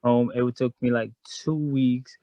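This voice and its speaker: A man's voice: mid-range voice, steady tone, slow pace, no room sound, dull audio. A man from Guyana, a guest in a casual chat, telling a long story in a frank, rambling way.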